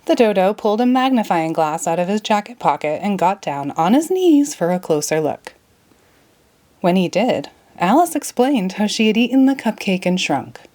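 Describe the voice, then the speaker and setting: Animated woman reading a storybook. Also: medium pitch, normal speed. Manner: expressive. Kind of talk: children's storybook read-aloud, scripted narration.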